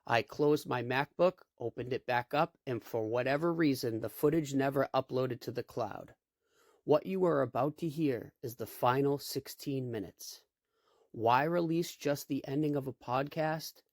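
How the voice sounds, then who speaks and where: A man's voice: mid-range voice, normal speed, dry recording, studio quality. A man reading a scripted podcast introduction. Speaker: podcast announcer. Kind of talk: scripted narration.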